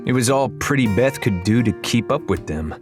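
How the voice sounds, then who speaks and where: A man's voice: mid-range voice, expressive, moderate pace. A man narrating a children's fantasy audiobook, wry and conversational, speaking straight to the listener. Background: music.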